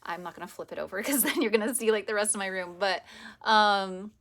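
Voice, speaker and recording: A woman with a raspy, hoarse voice after a long day, talking softly and meandering into whispers as she runs a casual spiritual vlog. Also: mid-range voice, brisk. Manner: soft, meandering, often drops to a whisper. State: hoarse from teaching all day. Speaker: reiki practitioner.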